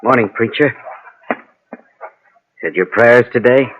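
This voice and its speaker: A man's voice: medium pitch, takes it slowly, lightly acted. An actor playing a vengeful, taunting gunman with folksy frontier speech in an old-time radio Western. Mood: taunting menace. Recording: dull vintage radio recording.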